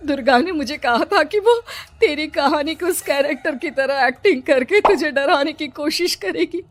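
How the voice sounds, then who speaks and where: महिला की आवाज़ में चिंतित माँ, बहुत ऊँचा सुर. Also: female voice, sits high, moderate pace, close to an everyday voice. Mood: shocked, reproachful. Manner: concerned, reproachful. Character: worried middle-aged mother, scolding, anxious.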